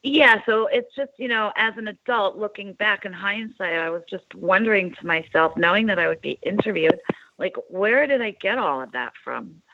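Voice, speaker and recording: A woman's voice: medium pitch, moderate pace, narrow, tinny audio, noisy background. A woman from Long Island, New York, telling her faith story as an interview guest in a rambling, hesitant way with many fillers.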